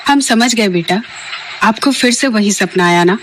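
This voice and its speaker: A woman playing a caring, reassuring mother who speaks gently and formally in an audio drama. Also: mid-range voice, measured pace, background noise, natural voice. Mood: worried.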